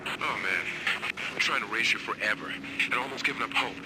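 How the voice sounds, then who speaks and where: A man's voice: mid-range voice, normal speed, very noisy, lightly acted. An actor playing an anxious, stranded male resistance soldier in a video game.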